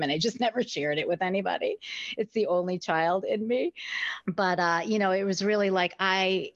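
A middle-aged American woman speaks as a conversational interview guest, animatedly acting out bits of dialogue as she tells her story. Her voice sits a little low. The recording is somewhat muffled. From United States. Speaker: interview guest, company founder. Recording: roomy, slightly dull sound.

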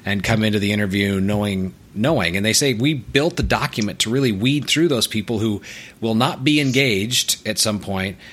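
Man, co-host, fairly low voice, thoughtful, measured talk. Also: fairly bright tone. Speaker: podcast co-host. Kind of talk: conversational podcast chat.

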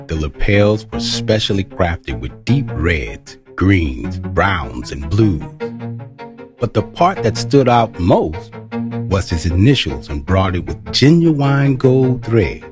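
A man's voice: deep voice, exaggerated voice. A man acting as a wry, knowing storyteller in scripted dramatic narration. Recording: music under the narration.

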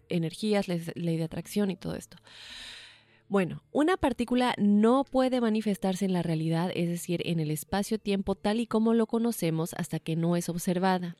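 A woman's voice: medium pitch, darkish tone, no room sound. A woman with a Mexican accent co-hosting a podcast, explaining science in a chatty way, laughing easily and restarting words. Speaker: podcast co-host. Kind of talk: casual explainer chat.

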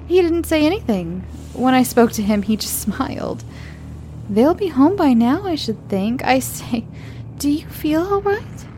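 A woman's voice: high voice, very animated, unhurried, warm tone, slight background noise, pitched above the performer's own voice, poor audio. A woman doing a chirpy young teacher. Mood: cheerful. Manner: cheerful, gushing. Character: bright, chatty young female teacher.

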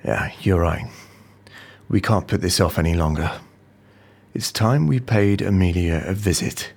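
An actor playing a deliberate, low-voiced male conspirator in an audio drama. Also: vocal fry, darkish tone, slightly rough, full character voice. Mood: grim resolve.

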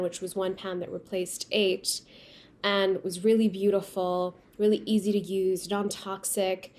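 A woman's voice: medium pitch, darkish tone. A woman from Pakistan whose first language is Urdu, a company founder speaking as a guest in a remote interview and telling her story with frequent restarts. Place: remote call from home.

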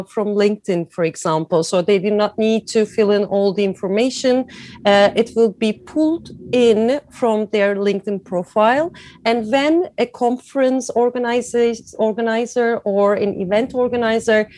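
A woman from Turkey with a Turkish accent, answering interview questions in halting non-native English, searching for words. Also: on the high side, a little mellow, dry recording. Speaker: podcast guest, cycling industry professional.

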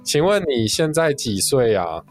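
Very low-voiced Taiwanese woman hosting for children. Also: very animated, a little slow, loud music behind the voice. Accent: Taiwanese Mandarin. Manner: gentle questions in simple, child-directed phrasing. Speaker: children's story podcast host. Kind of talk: friendly interviewing and hosting for children.

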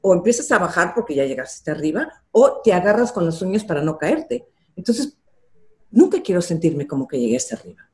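A woman's voice: fairly low pitch, very animated, a little fast, darkish tone. An older woman, a journalist being interviewed, telling her career story in an earnest, reflective way with some fillers. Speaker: interview guest, television journalist.

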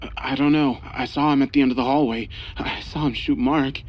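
A man's voice: fairly high pitch, quick pace, a little mellow, pitched above the performer's own voice, natural voice. A man voicing a terrified husband hiding from a gunman, whispering and stammering with a trembling voice. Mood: panicked whisper.

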